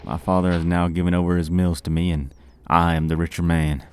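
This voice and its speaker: A man's voice: low voice, flat delivery, a little fast, a little mellow, smooth, faint music behind, close to an everyday voice. A man voicing a smug, vain young zealot with a nasal voice.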